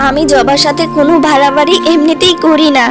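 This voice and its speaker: A woman's voice: high-pitched, fairly even intonation, fast, loud music behind the voice, lightly acted. A woman voicing a dutiful, patient elder sister-in-law in a cartoon, speaking earnestly. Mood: reassuring, earnest. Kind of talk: cartoon drama acting.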